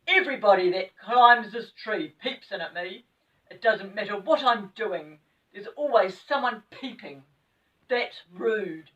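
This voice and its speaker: A woman's voice: medium pitch, lively intonation, unhurried, fairly bright tone, recorded from a distance, highs cut off, voice pushed up. A woman voicing an angry, indignant little pixie man in a children's storybook, scolding rudely. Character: angry little old-fashioned male pixie, rude and indignant.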